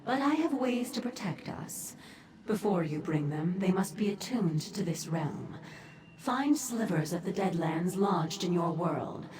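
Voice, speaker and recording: Echoing, low-voiced demon woman. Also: expressive, a little mellow. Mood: composed, commanding. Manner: formal, measured, imperious. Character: composed female Dremora demon offering dangerous sanctuary. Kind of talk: fantasy game acting. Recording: echoing reverberant voice over music.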